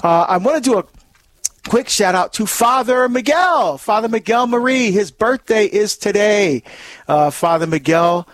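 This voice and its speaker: Upbeat high-voiced radio host deacon. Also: male voice, measured pace. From Barbados. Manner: upbeat, animated, fond of exclamations.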